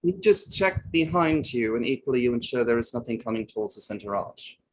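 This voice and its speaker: A plain-spoken riverboat crewman played by a man in a play reading gives evidence in a firm, matter-of-fact way. He sits at an average male pitch and answers insistently, a little on the defensive. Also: lively intonation, a little fast, natural voice, rough recording. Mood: assured. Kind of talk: table read of an inquiry play.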